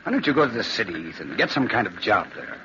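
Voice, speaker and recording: A dull radio recording with some hiss carries a plain country workman. His delivery is folksy, blunt and skeptical, with lively swings in pitch, in old-time radio drama style. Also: quick pace. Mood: gruffly earnest. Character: plain-spoken rustic working man, wary and skeptical.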